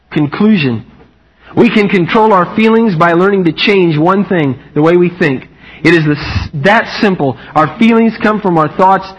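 A man preaching a lively, humorous sermon, animated and playful, acting out quotes in a higher voice. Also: husky. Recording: muffled lo-fi recording.

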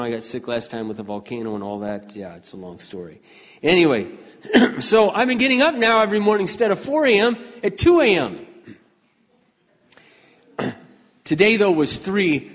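An older man preaching a folksy, conversational sermon as pastor, often clearing his throat and restarting his sentences. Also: fairly high pitch, expressive, moderate pace. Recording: dull, muffled sound with long hall reverb.

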